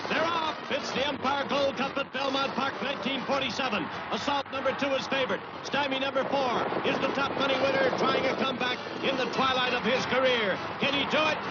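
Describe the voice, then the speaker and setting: A man's voice: extremely high pitch, normal speed, heavy background noise. An American man narrating horse races as a mid-century newsreel commentator, theatrical and urgent, with grandiose phrasing. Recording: dull old newsreel soundtrack, loud music. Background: loud music.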